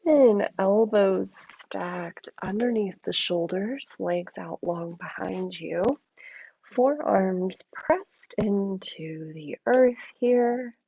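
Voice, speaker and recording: A woman teaching a yin yoga class, hoarse and froggy from an allergy attack, giving slow, soothing cues. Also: medium pitch, warm tone, lo-fi sound, slight background noise. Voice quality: gravelly, froggy voice. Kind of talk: guided yoga instruction.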